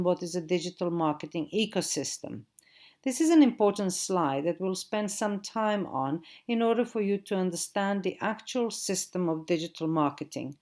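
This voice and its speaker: A woman's voice: medium pitch, a little mellow. Croatian-accented woman giving a lecture. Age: middle-aged. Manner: measured, spelling out web addresses. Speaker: digital marketing trainer. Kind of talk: recorded lecture. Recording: audio-only recording.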